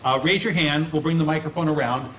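A man's voice: on the high side, fairly quick, fairly bright tone, slight background noise. A man moderating a panel discussion, politely directing questions to the speakers. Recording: roomy hall audio.

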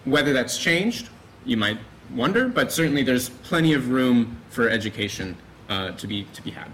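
A man panelist adding a point in a measured way, with frequent fillers. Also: mid-range voice, sing-song, fairly bright tone, a little hiss or hum, rough recording. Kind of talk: panel discussion.

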